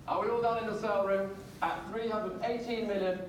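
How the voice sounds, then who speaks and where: Far-off auctioneer calling bids in an echoey room. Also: male voice, sits high, fairly even intonation, slight background noise. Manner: brisk, repetitive calling of bids with light joking asides. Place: large auction sale room, heard from the audience. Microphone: far phone camera in the crowd. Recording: distant echoey sale room from a phone in the crowd.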